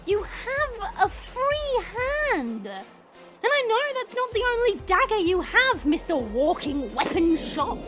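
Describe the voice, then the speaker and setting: A woman's voice: very high-pitched, sing-song, slow pace, over loud music, exaggerated voice. A woman voicing a cocky, teasing immortal female fighter in an audio drama, with casual, slangy delivery. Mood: mocking. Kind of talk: dramatic audio roleplay acting.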